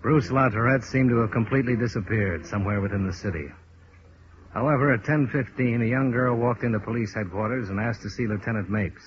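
An actor playing a steady, tough investigator in a 1950s radio detective drama, clipped and matter-of-fact. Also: male voice, medium pitch, fairly quick, natural voice. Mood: grim, matter-of-fact. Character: steady, tough investigator hero who narrates his case. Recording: noisy, dull old radio recording.

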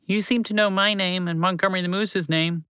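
A man's voice: high-pitched, steady tone, fairly quick, pushed and bright, smooth, no echo, clean studio sound, pitched above the performer's own voice. A man voicing a small, earnest male mouse who pleads and talks a lot. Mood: pleading. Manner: pleading, talkative.

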